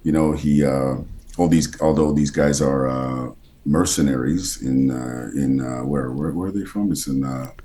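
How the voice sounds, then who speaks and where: Very deep-voiced man with a textured bass. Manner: halting, many restarts and fillers, drops quieter mid-thought. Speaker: actor and voice actor guest. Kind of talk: casual interview conversation.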